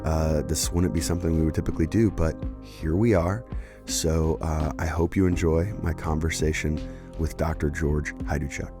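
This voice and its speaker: A man hosting and introducing an interview for his church community, talking earnestly with lots of fillers and quick asides. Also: extremely low pitch, steady tone, over music. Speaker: pastor and host.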